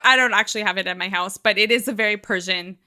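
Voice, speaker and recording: A woman co-host talking casually on a podcast, her voice bright and clear. She chats loosely, leans on "like" as a filler, and laughs easily. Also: medium pitch, fast talker. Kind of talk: casual chat.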